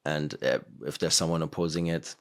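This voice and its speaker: A man's voice: rumbling low, steady tone, a little fast. A man hosting a podcast, rambling in casual conversation with many fillers. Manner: rambling, filler-heavy, laughs between points.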